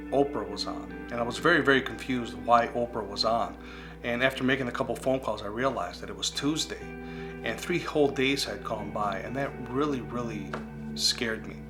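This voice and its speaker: A man in his forties, Greek as his first language, earnestly telling his personal recovery testimony in a reflective way. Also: medium pitch. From United States. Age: 40s. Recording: guitar music playing underneath.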